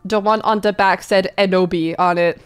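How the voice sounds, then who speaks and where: A woman's voice: medium pitch, fairly even intonation, moderate pace, clear, resonant tone, soft background music. A woman reading a story aloud comedically, with casual banter as a co-reader on a live stream.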